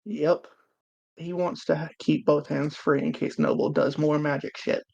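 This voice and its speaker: A woman's voice: low-pitched, fairly even intonation, measured pace, gravelly, darkish tone, lo-fi sound. A woman chatting casually as a player in a tabletop role-playing game, describing moves to the group. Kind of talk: casual chat.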